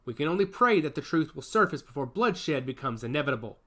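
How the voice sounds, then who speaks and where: A man voicing a grave older male rebel leader, measured and solemn. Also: fairly high pitch, big pitch swings, pushed and bright, exaggerated voice.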